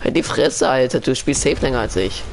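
A man's voice: mid-range voice, lively intonation, fairly quick, gravelly, over a noisy crowd. Young male streamer, blunt and snappy. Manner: blunt, snaps back. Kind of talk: casual chat while gaming.

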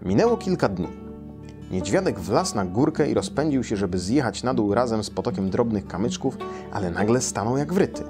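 Man, storyteller, fairly deep, very varied intonation, faint music behind. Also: lively intonation, moderate pace. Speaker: storyteller reading a translated children's tale. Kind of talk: storybook reading aloud. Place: small furnished room, indoors.

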